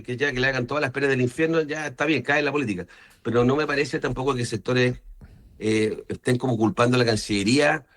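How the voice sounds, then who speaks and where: A Chilean man gives his opinions in a political interview. His voice sits at a medium pitch, and he keeps checking in with short questioning tags as he explains each point. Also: a bit bright. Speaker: senator being interviewed.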